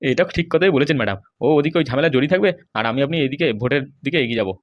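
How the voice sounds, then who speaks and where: A woman's voice: low-pitched, expressive, rapid-fire, highs cut off. A woman voicing a fawning, self-seeking political underling in a comedy cartoon. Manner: deferential, wheedling. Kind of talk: amateur comedy cartoon dub.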